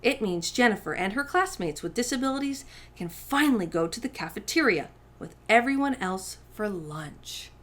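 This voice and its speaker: A woman hosting a story time, reading a picture book aloud to young children with deliberate, emphatic phrasing. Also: mid-range voice, expressive, a bit bright. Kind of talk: expressive children's read-aloud narration.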